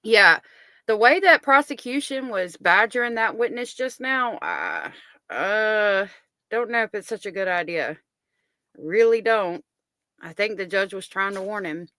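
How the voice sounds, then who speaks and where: A woman's voice: medium pitch, big pitch swings, a little slow, pushed and bright, dry recording. A woman hosting a live stream, giving blunt, wry commentary on a trial as she watches it. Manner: blunt, wry, talks back at the screen. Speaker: livestream host commenting on a trial.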